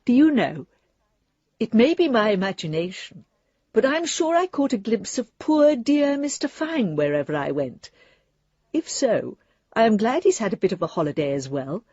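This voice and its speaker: Prim, fussy English gentlewoman for radio drama letters; mellow, expressive delivery, close dry sound. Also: medium pitch, moderate pace, slightly rough, dry recording, lo-fi sound. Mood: delighted, chatty. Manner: prim, polite, wordy. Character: genteel, earnest, politely fussy English lady letter-writer.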